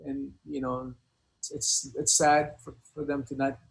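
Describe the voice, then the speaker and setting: A man talking casually as an interview guest, halting and earnest, often repeating himself. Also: medium pitch, flat delivery, vocal fry, low-quality recording. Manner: rambling, earnest, halting, many repeated phrases. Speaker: hip-hop artist being interviewed. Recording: noticeable background noise.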